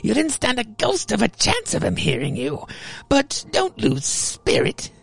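A gleefully ghoulish old witch played by a man hosts a horror radio play. The voice is pitched high and cackling, drawing out each teasing line with theatrical relish. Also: wildly swooping pitch, creaky, husky, faint music behind, exaggerated voice. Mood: gleeful, mocking. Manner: teasing, theatrical, drawn-out delivery.

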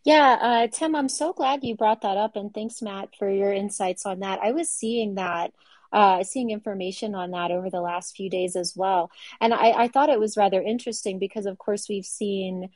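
A woman hosting a casual panel discussion, talking in a measured way with frequent fillers and putting questions to her guests. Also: mid-range voice, a little mellow, no room sound. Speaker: podcast host.

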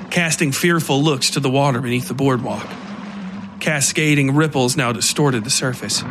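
A man's voice: medium pitch, background noise. A man reading scripted horror fiction as the narrator of an audio story.

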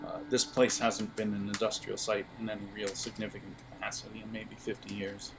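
A man's voice: lowish voice, little pitch movement, brisk, poor audio. A man running a tabletop game as game master, improvising casual narration and often searching for his words. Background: music under the voice.

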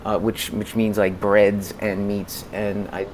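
American male, 40s, podcast guest. Lowish voice, halting conversational delivery, noticeable background noise. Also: flat delivery, a little mellow, rough recording. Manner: halting, repeats words as he goes. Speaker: podcast guest, music consultant. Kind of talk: conversational interview.

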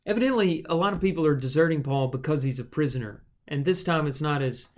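Measured man explaining scripture. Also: fairly high pitch. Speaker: Bible study podcast host and teacher. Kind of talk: conversational Bible teaching with scripture reading.

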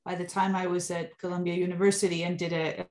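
A woman's voice: on the low side, little pitch movement, fast talker, a little mellow. A woman from New York being interviewed, explaining at length with many restarts. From New York, USA. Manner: fluent but full of fillers and restarts, explanatory. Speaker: guest. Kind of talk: interview storytelling.

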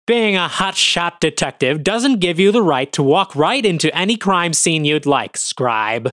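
A man's voice: on the low side, expressive, fairly quick, bright, forceful tone, clear, resonant tone, no echo, clean studio sound. A man voicing a scrawny, ferret-like police officer who barks and sneers in an audio drama. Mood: sneering hostile. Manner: barking, sneering.

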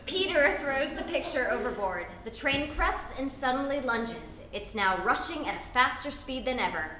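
A woman's voice: high-pitched, lively intonation, normal speed, a crowd in the background. A woman reading scripted stage directions as narrator at a live play reading. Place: live performance in a room. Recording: roomy live recording, faint noise.

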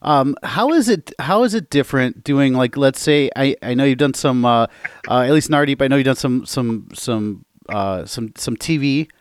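A man with a Midwestern American accent, hosting an interview and asking halting questions full of restarts. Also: mid-range voice, animated, clean tone, no echo, clean studio sound. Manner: halting, many restarts and fillers. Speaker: interviewer host.

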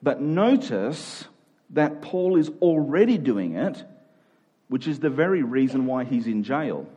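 A man preaches, with a medium-pitched voice that has a slightly rough, husky edge. He delivers a sermon, circling back on key phrases to drive them home and checking in with short rhetorical questions. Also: big pitch swings, unhurried, warm tone, lo-fi sound.

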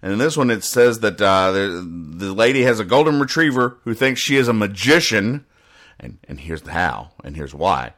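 A man hosting a comedy podcast, explaining things in a deadpan way with halting restarts and emphatic repetition. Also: lowish voice. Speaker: podcast host. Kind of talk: deadpan comedic explanation of newspaper comic strips.

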